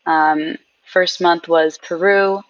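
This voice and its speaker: A woman telling her own story in a casual conversation, animated, repeating and restarting words, laughing often. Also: lowish voice, takes it slowly, no echo, recorded from a distance, muffled recording.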